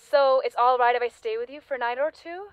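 A woman's voice: high voice, fast, clean tone, dry recording, close to an everyday voice. An actress playing a lonely, needy woman in an audio play, wistful and pointed as she clings to an old school friend. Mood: insistent. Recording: slightly dull, dry recording.

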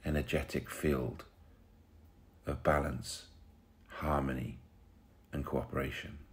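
A man leading a guided meditation in a soothing, unhurried way, pausing between short phrases. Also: a bass voice, little pitch movement.

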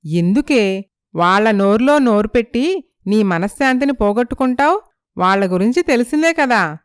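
A woman playing a sympathetic, gently persuasive young female friend in an animated moral story. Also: a little high, moderate pace, smooth, no echo, clean studio sound, lightly acted. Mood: curious.

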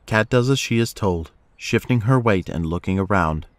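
A man's voice: fairly low pitch, animated, normal speed, dry recording. A man reading scripted narration for an audio drama.

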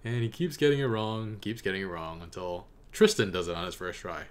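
A man's voice: low voice, brisk. A young man giving casual, off-the-cuff reaction commentary as a video host. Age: young adult. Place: small room indoors. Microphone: desk condenser microphone.

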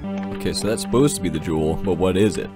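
Deep-voiced man doing sweary gameplay commentary. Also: quick pace, over loud music. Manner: sweary, joking, slangy. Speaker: gaming video host.

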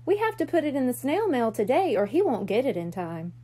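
A patient mermaid-cat mama from a storybook, voiced by a woman. The delivery is reassuring and gently explanatory, in a fairly high, expressive voice. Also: fairly quick, breathy edge, full character voice. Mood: practical.

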